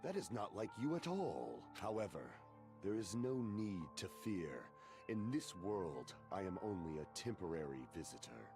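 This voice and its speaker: A man playing a grandiose young villain in an anime game, pompous and theatrical, proclaiming himself a dark overlord. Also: low-pitched, very animated, normal speed, music in the background. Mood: solemn pride. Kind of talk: anime video game voice acting.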